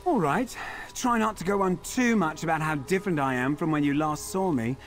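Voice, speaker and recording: An actor playing a vain, witty fallen dandy in a video game, theatrical and droll. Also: male voice, on the high side, brisk, faint music behind, natural voice. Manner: theatrical, self-regarding, droll.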